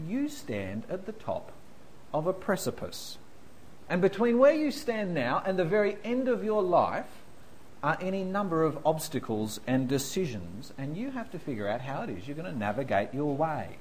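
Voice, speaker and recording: A pastor preaches with his voice leaping up and down in pitch, which sits a little high for a man. He tells his own blunders with a laugh before turning to weightier points. Faint background hiss sits under the talk. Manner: self-deprecating storyteller, animated, laughing through anecdotes. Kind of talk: sermon with humorous stories.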